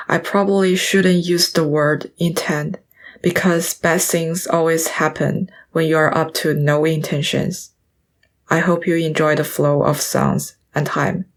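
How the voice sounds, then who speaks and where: A woman's voice: sits low, flat delivery, takes it slowly. A young woman student narrating her own audio piece in a scripted, earnest, reflective way. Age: young adult.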